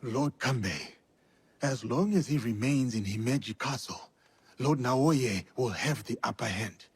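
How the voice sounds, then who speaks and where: An actor playing a loyal, stoic samurai warrior in a video game, earnest and restrained. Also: male voice, mid-range voice, a little slow, slightly rough, dry recording. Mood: concerned. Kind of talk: dramatic video game acting.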